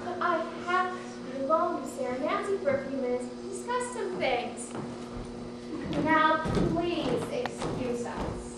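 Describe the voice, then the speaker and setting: A woman's voice: high-pitched, animated, unhurried. A woman playing a fussy, genteel aunt in a stage comedy, gushing and lecturing in a theatrical manner. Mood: impatient. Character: fussy, genteel Southern aunt coaching her shy niece. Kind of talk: stage acting in a one-act comedy. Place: theater stage, recorded from a distance. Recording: echoey stage, distant mic, hissy background noise.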